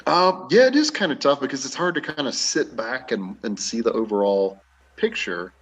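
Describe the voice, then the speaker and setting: A man's voice: medium pitch, animated, moderate pace, fairly bright tone, slightly rough. A man talking casually in an interview as a theatre director and actor, explaining things in an informal, easygoing way.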